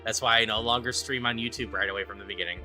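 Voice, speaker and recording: A man's voice: lowish voice, steady tone, very fast, bright, forceful tone. A man livestreaming a game, reading its dialogue aloud in a theatrical way and switching into character voices. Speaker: game streamer. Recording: music behind the voice. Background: game music.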